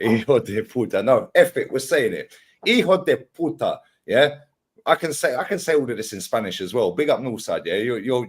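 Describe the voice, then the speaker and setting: Lively, teasing man, pitch all over. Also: husky. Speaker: football panel host. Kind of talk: casual banter on a fan panel.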